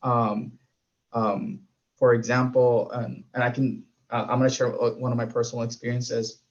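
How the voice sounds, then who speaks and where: A Spanish-native man from Puerto Rico speaks in a level, even tone, pausing often to gather his thoughts. His voice comes through a dull, lo-fi video call line. He is a panelist sharing something personal. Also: mid-range voice. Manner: thoughtful, hesitant with many fillers. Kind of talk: personal reflection in a panel discussion.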